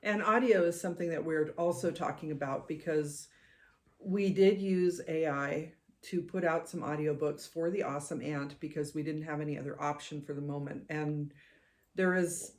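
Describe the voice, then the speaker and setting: Middle-aged woman chatting on a podcast, calm soothing voice, steady and measured. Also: sits low. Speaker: podcast co-host. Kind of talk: casual chat.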